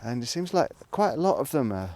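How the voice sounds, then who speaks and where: A man talking casually to a vlog audience in a reflective, rambling monologue, musing haltingly and trailing off between thoughts. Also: medium pitch, big pitch swings, a little mellow. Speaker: vlogger. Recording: noticeable background noise, clicks.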